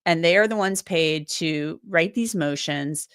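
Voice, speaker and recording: A woman's voice: sits low, measured pace, fairly bright tone, clean tone, dry recording, clean studio sound. A woman host, getting over a cold, chatting loosely through viewer comments and thinking aloud with many restarts. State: recovering from a cold, voice a bit strained. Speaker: legal commentator and livestream host. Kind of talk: casual livestream chat reading viewer comments.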